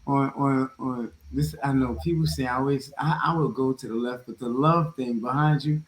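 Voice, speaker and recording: A man's voice: mid-range voice, fairly even intonation, normal speed. Man chatting casually in an echoey room. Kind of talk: casual chat. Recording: roomy, echoey sound.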